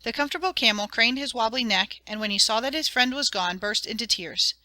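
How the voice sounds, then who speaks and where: A woman reading a children's audiobook as narrator, in an expressive, dramatic and clearly enunciated storytelling style. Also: a little high, brisk, no room sound.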